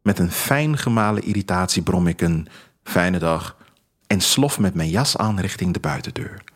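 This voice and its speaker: Man, lowish voice, animated intonation, poetic first-person narration, expressive storytelling, audio drama. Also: normal speed, no echo. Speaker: author narrating his own story.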